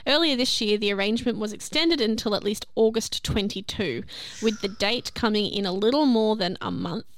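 A woman's voice: medium pitch, moderate pace, no echo. A young woman, co-hosting a podcast and reading notes aloud in a rambling, chatty way that often stops and restarts. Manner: rambling, chatty, self-interrupting. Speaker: podcast co-host.

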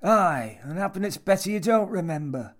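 Grumpy old bloke for an audiobook, high loud gruff bark, guards his stuff. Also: lively intonation, moderate pace. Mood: gruff, guarded. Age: older. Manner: barking, gruff, possessive. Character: sour, threatening old male club storeroom keeper. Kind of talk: audiobook character voice.

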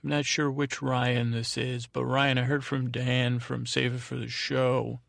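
Gravelly male podcast host, rambling along. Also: medium pitch, little pitch movement, fairly bright tone, no echo, studio quality. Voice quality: creaky, gravelly. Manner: halting, meandering, repeats and restarts phrases. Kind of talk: rambling casual monologue with thank-yous.

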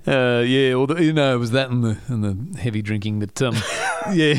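A man's voice: mid-range voice, normal speed, clear, resonant tone, dry recording. A middle-aged man telling stories in casual conversation, self-deprecating and laughing often, with many restarts.

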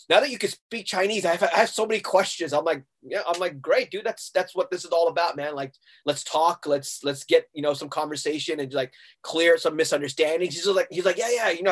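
A lively, slightly high-voiced man from Hong Kong talks casually in an interview. He tells stories with energy and acts out both sides of a conversation. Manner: animated storyteller, acting out quoted exchanges. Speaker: guest musician.

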